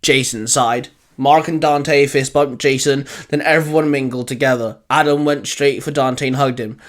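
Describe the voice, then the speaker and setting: A man hosting and narrating a fan fiction story in a dramatic, deliberate, emphatic scripted style. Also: mid-range voice, steady tone. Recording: music under the voice. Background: music.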